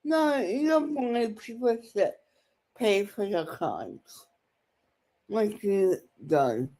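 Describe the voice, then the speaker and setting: A woman's voice: mid-range voice, expressive, slow pace, dark tone. A woman giving casual reaction commentary, blunt and speaking in disjointed phrases.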